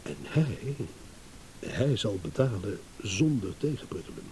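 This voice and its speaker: An actor playing a shrewd, amused businessman in a radio drama, teasing and insinuating with hesitant pauses. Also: on the low side, animated, warm tone, low-quality recording. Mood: scheming. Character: shrewd, amused businessman, a blackmail victim turning schemer. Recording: hissy old radio play recording.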